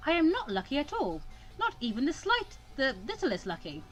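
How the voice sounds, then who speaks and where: Young female student, visual novel, inquisitive and incredulous, lively high delivery by a woman. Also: normal speed, faint music behind, exaggerated voice. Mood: flustered, incredulous.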